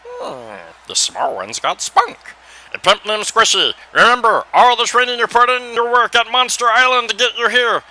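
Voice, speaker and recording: A man's voice: extremely high pitch, very animated, normal speed, bright, forceful tone, heavily acted, poor audio. A man voicing a boastful, pompous wrestling manager with grandiose, theatrical delivery in a cartoon. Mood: smug.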